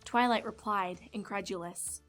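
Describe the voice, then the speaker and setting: A woman's voice: mid-range voice, normal speed, fairly bright tone. A woman reading scripted storybook narration as the narrator. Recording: music behind the voice.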